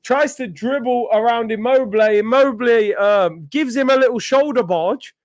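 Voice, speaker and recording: A young man hosting a live football watchalong, chatting in slang and breaking into excited shouts at big moments. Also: very high-pitched, moderate pace, no echo, studio quality. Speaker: host of a football watchalong stream.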